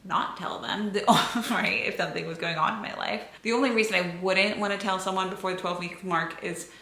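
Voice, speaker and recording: A woman's voice: mid-range voice, fairly even intonation, a little fast, sharp tone. A young American woman chatting casually to camera, answering viewer questions in an open, filler-heavy way. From United States. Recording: echoey room.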